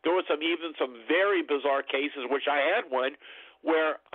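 A man's voice: fairly high pitch, moderate pace, no room sound. An older man recounting anecdotes as an interview guest over a telephone line. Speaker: guest, paranormal researcher. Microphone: telephone call. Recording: phone-like narrow band line.

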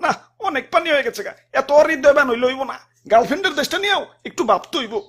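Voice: high voice, expressive, racing, noisy background. A performer voicing a smug, greedy cartoon dam keeper who talks in an exaggerated, self-righteous comic way. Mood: smug, fretful.

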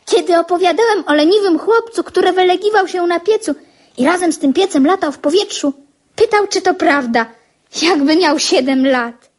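A woman's voice: very high-pitched, normal speed. A woman playing an eager, chatty young schoolboy who talks earnestly in a radio drama.

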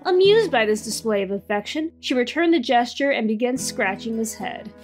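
A woman narrates a fairy-tale audio drama in a calm, even way. Her voice sits a little high, with faint music underneath. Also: moderate pace. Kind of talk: storybook narration.

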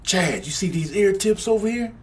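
A man plays an excitable, easily amazed cat. The voice is high and swoops, stretching and repeating words in wonder. It is a comic animal voiceover with noise behind it. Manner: repetitive, drawn-out wonder. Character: amazed, excitable cat discovering its own body.

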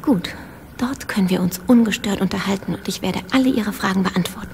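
An actress playing a secretive, guilt-ridden informant in a radio play, with a breathy, half-whispered, gravelly voice and a halting, cryptic manner. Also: female voice, medium pitch, darkish tone, close to an everyday voice. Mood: hushed, insistent. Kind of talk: German radio play acting. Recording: reverberant hall, faint background noise.

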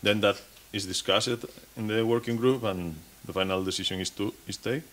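Man, 30s, lowish voice, speaking from a podium at a conference, restarting and repeating words as he goes. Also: steady tone, a little fast, fairly bright tone, slight background noise. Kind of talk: technical conference talk. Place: conference stage.

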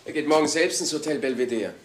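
An actor playing a matter-of-fact police detective in a German crime radio play. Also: male voice, on the high side, quick pace, close to an everyday voice. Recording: old radio play with faint noise.

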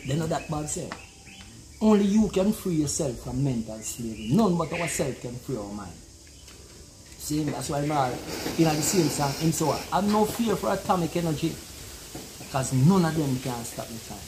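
A middle-aged Jamaican man, a guest in an animated outdoor conversation, who talks emphatically and keeps asking "you see it?". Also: fairly high pitch, moderate pace, background noise. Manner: emphatic, repeats "you see it?", gestures while talking. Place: open-air pavilion in a garden.

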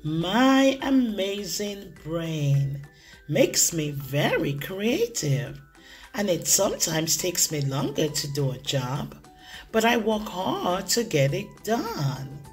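A woman plays an excitable, playful goat kid telling a children's storybook tale. The delivery is bubbly and extremely animated, with slow, drawn-out emphasis on key words. Music plays underneath. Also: lowish voice. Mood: determined. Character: excitable, playful young goat kid who loves making friends laugh. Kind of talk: first-person children's storybook read-aloud.

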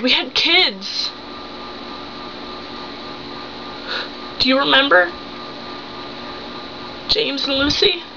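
A seventeen-year-old young woman acting a betrayed, hurt and angry wife and mother in an improvised dramatic monologue, accusing with halting, emphatic phrases. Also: high voice, bright, forceful tone, pitched above the performer's own voice, full character voice. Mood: hurt, pleading. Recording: muffled home recording with hum.

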